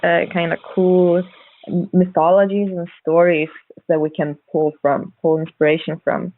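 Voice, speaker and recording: A woman speaking as a podcast guest co-host, chatting casually about horror films in hesitant, halting phrases. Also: lowish voice, level tone, no echo. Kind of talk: casual horror film chat. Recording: narrow phone-like call audio, dry.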